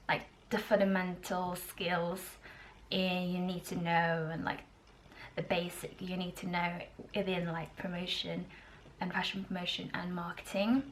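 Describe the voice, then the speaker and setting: A woman, giving a casual, informative vlog in a halting way with drawn-out pauses. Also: lowish voice. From Norway. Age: 20s. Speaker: student video blogger.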